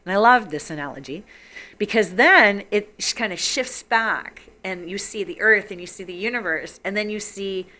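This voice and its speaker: Husky-voiced bright woman host. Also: medium pitch, moderate pace. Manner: chatty, enthusiastic, repeats words for emphasis. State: sick, husky voice. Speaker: psychic call-in show host. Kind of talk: casual talk-show monologue.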